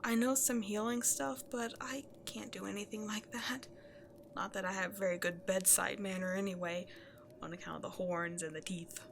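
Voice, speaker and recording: A woman playing a remorseful, self-loathing cursed princess turned beast in an ASMR roleplay, halting and confessional, often hushed to near-whispers. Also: medium pitch, fairly even intonation, gravelly, background noise, close to an everyday voice. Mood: resigned, somber. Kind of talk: dramatic ASMR roleplay monologue to the listener.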